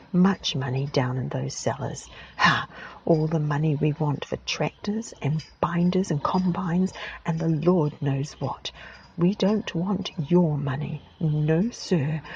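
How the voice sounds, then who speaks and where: A woman's voice: low voice, vocal fry, lo-fi sound, a little hiss or hum, exaggerated voice. Woman doing a bellowing old farmer. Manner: loud, bellowing, rustic. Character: bellowing stubborn great-grandfather farmer.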